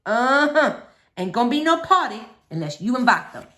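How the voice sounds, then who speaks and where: A woman's voice: fairly high pitch, sing-song, normal speed, sharp tone. A woman voicing a wry, kindly old Southern woman in a storybook, folksy and emphatic. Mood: firm.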